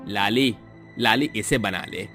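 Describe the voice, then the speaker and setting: Man acting as humble village father, low pitch, earnest, kindly, moral storybook tale, music behind. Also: slow, sharp tone, full character voice. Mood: calling out. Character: Dharmesh, poor kind-hearted village father, earnest.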